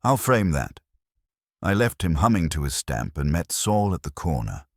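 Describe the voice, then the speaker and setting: A man's voice: very deep voice, sing-song, normal speed, darkish tone, no room sound, clean studio sound. A man giving a scripted first-person narration of a detective story. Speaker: audiobook narrator.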